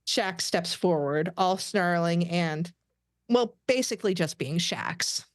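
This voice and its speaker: A woman's voice: on the low side, takes it slowly, dry recording, studio quality. A woman reading stage directions as narrator of a scripted table read.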